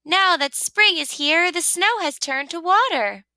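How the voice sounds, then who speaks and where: Woman voicing a high, chirpy little storybook bird. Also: expressive, measured pace, bright, forceful tone, no echo, studio quality, full character voice. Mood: delighted. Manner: chirpy, reassuring, singsong. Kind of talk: children's storybook read-aloud.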